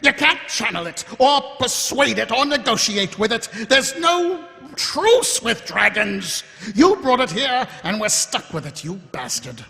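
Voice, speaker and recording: Casting: dogged, sardonic guard captain, high-pitched and very animated, live hall reverb. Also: male voice, a little slow, vocal fry, hardly any pauses, full character voice. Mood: angry. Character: dogged, cynical male city watch captain. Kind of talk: live radio theatre acting. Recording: echoing hall, live stage performance.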